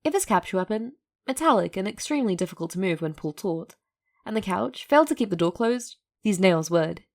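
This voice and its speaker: A woman's voice: medium pitch, animated, fairly quick. A woman narrating a piece of fiction from a script, as a storyteller. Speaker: narrator, fan fiction reader.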